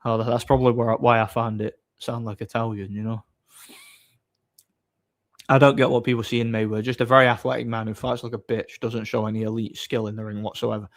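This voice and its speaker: A man hosting a livestream, chatting casually and off the cuff in a blunt, opinionated, somewhat halting way. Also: mid-range voice, flat delivery. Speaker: livestream host. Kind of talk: casual off-the-cuff chat, answering viewer comments.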